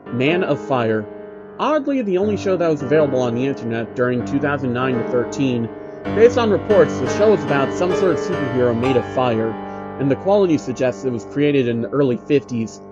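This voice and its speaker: A man narrating a scripted horror story in a flat, measured reading voice. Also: mid-range voice, lo-fi sound. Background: faint uneasy piano music.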